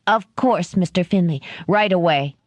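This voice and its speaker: A woman's voice: on the low side, normal speed, no echo, close to an everyday voice. A woman voicing a nervous, timid male servant who speaks meekly and eagerly. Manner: meek, eager to please.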